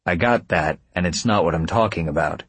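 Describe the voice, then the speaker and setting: Audiobook role: male narrator as steady, patient man who firmly presses his point, lowish voice, dry close sound. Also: fairly quick, breathy edge, no room sound. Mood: firm, concerned. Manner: direct, steady, firm. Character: calm, stubborn, patient man pressing for answers.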